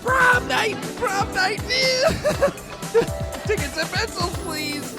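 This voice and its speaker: A man playing a nervous, excitable high school principal in a comic audio drama, gushing and chummy. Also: extremely slow, over loud music, heavily acted. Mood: giddy.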